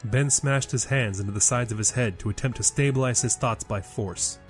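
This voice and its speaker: A male storyteller reads dramatic fantasy narration. His pitch is medium, and music plays underneath. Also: normal speed.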